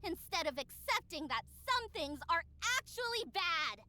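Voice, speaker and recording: A woman's voice: very high voice, sing-song, moderate pace, bright, forceful tone, full character voice. A woman playing a combative, bitter young magical girl in a visual novel, sarcastic and scornful. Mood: indignant.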